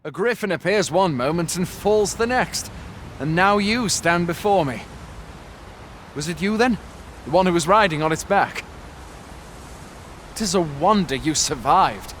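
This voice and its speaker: A brisk, inquisitive stronghold soldier for a fantasy game. He has a slightly high voice and an authoritative questioning manner, over faint background noise. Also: lively intonation, fairly bright tone. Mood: concerned, curious.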